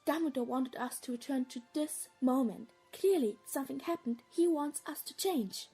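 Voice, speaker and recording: Woman playing a high-voiced clever schoolgirl witch. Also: normal speed, dark tone, soft background music. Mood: urgent, explaining. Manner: explanatory, matter-of-fact. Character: clever, brisk thirteen-year-old schoolgirl witch. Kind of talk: dramatic audio play acting.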